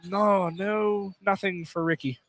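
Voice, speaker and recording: High-voiced young man calling basketball play-by-play. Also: steady tone, takes it slowly, gravelly. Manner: informal, bantering with co-commentator. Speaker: play-by-play announcer. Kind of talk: live college basketball radio commentary. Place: college basketball field house, live broadcast.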